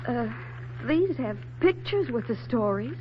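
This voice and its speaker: A wry, composed young woman in a forties radio play, coolly teasing. Her pitch is medium but moves with lively swings. The vintage recording is muffled with light hiss. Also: a little slow. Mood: dry, arch. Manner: dry, teasing. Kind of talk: 1940s radio drama acting. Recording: muffled, faintly hissy 1940s radio recording.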